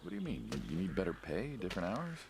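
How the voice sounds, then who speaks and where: An actor playing an aging American mob man in a video game, folksy and casual but quietly menacing, probing an old friend. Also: sits low, wildly swooping pitch, fast talker, noisy background, heavily acted. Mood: puzzled. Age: older. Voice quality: gravelly, husky. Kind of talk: naturalistic video game dialogue.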